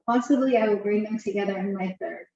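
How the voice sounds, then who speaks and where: A middle-aged woman from Turkey who works as a professor, giving a measured academic talk in a webinar with frequent "um" fillers. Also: mid-range voice, dark tone, far from the mic, highs cut off.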